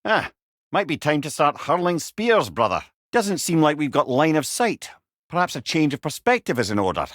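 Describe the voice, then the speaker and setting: A man's voice: on the high side, big pitch swings, normal speed, a bit bright, no echo, studio quality. A man with a Scottish accent playing a witty, learned older companion, a talking head in a video game, wry and eloquent with old-fashioned wording. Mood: wry, advising. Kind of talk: video game character dialogue.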